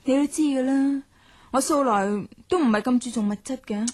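Breathy, high-voiced lonely young woman. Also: moderate pace, a little mellow. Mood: subdued. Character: lonely confined young writer, rich man's neglected daughter. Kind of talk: radio drama acting. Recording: music under the voice.

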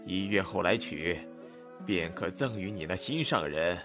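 A man's voice: low-pitched, lively intonation, music in the background. A man playing an indulgent old martial arts master in a Chinese wuxia audio drama, drawing out his words. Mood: resigned, indulgent. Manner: slow, drawn-out delivery.

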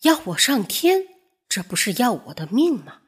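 A woman voicing a clever, anxious male carpenter in a storybook, speaking in a hushed, earnest manner. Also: fairly high pitch, big pitch swings, moderate pace, slightly rough, heavily acted. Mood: alarmed, cautious. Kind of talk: storybook character voice.

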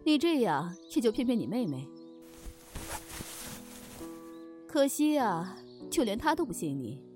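A woman playing an aloof, teasing supernatural wish-dealer, animated. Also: a little high, normal speed. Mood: dismissive. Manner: dismissive, mocking, curt. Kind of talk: Chinese audio drama acting. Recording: clear music under the voice.

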